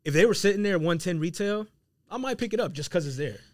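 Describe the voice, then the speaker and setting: A man's voice: high-pitched, expressive, quick pace, no room sound, clean studio sound. A man with an American accent chatting casually as a podcast co-host, slangy and agreeable, chiming in with short affirmations. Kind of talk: casual sneaker chat, slangy.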